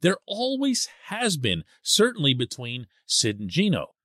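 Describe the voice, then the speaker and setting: Animated sports podcast host man. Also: fairly high pitch, a little slow, no echo, studio quality. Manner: animated and emphatic, stresses key words. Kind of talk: solo podcast commentary.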